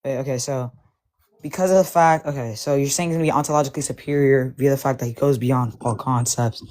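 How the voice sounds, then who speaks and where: A man's voice: mid-range voice, steady tone, fast talker, darkish tone. A man hosting a live stream, often interrupting with questions and laughing during a casual voice-chat debate.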